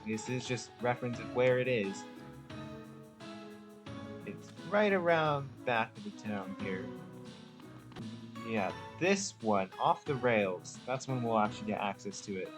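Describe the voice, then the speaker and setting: A man hosts a casual game playthrough in a mid-pitched voice. He sounds laid-back, thinking aloud as he goes, with clear music playing behind him. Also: animated, measured pace, long pauses. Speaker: gamer, video host.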